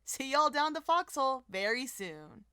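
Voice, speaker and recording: A woman's voice: sits high, big pitch swings, moderate pace, bright, forceful tone, clear, resonant tone, no room sound, studio quality. A woman co-hosting a video, reading scripted commentary with casual asides over background music. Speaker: video co-host. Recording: music playing behind the voice. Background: music bed.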